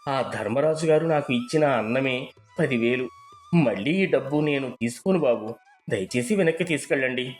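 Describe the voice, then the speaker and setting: A man's voice: medium pitch, normal speed, soft background music, natural voice. A man playing an honest, humble poor gardener in a moral story, earnest and deferential. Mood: tearful, reluctant. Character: humble, honest poor male gardener, tearful, devoted husband. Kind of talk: dramatised moral storybook dialogue.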